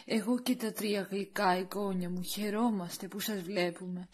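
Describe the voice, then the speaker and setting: A woman's voice: mid-range voice, fairly even intonation, lightly acted. A woman voicing a formal elderly grandmother and matriarch in an amateur anime dub, speaking ceremoniously. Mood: solemn welcome.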